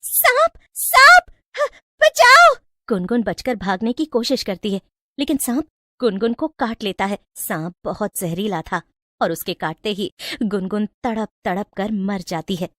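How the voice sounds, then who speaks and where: A woman's voice: medium pitch, normal speed, a little mellow, no echo. Female storyteller for scripted moral tales, close and dry recording. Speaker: story narrator.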